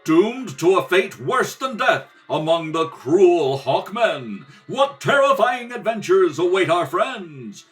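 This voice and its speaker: A man's voice: sits high, sing-song, takes it slowly, few pauses, soft background music. A man narrating in the dramatic, theatrical style of a 1930s radio serial announcer. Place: kitchen table at home.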